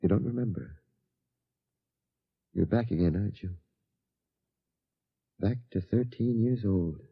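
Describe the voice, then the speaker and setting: A man's voice: fairly low pitch, unhurried, vocal fry, husky, exaggerated voice. A man playing an earnest, burdened father in a 1950s radio drama. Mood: grave, resolute. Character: earnest, burdened father determined to save his son. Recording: dull old radio drama recording.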